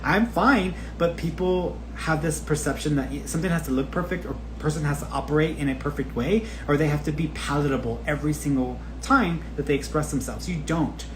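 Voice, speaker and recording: A man's voice: on the high side, a little fast, hardly any pauses. Candid man chatting over background noise. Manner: candid and informal, cursing freely. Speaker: spiritual teacher and psychic medium hosting a live stream. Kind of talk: casual, rambling personal talk to online followers.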